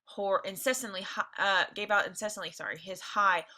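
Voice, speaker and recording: Woman, teacher, very bright forceful voice, medium pitch, expressive novel read-aloud, informal explanatory asides. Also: fairly quick. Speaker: teacher reading along with listeners.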